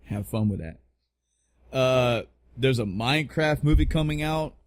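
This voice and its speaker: A man's voice: medium pitch, a little slow, dry recording. Blunt, joking man on a dry mic. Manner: blunt, joking, swears freely; repeats phrases for emphasis. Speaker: podcast co-host. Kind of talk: casual opinionated podcast chat.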